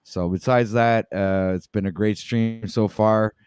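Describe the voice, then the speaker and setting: A man's voice: lowish voice, fairly even intonation, unhurried, darkish tone, smooth, dry recording, studio quality. A man hosting a live stream, calling the rounds of a debate like an emcee and asking viewers for likes. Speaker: stream host.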